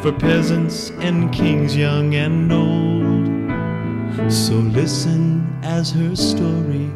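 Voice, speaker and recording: A woman's voice: deep voice, steady tone, drawn-out words. A woman delivering a lyrical sung ballad with guitar accompaniment. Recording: loud guitar music accompaniment.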